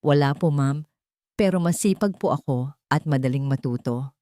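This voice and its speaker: A woman's voice: low voice, moderate pace, mellow, no echo, studio quality, close to an everyday voice. A woman voicing a poor young maid from the provinces, respectful yet firm, in an audio story. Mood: earnest. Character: poor, brave young provincial maid, polite but proud.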